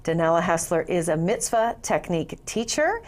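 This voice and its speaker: A woman hosting a TV interview show, welcoming her guest and encouraging her with quick, short prompts. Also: fairly low pitch, sing-song. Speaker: TV talk show host.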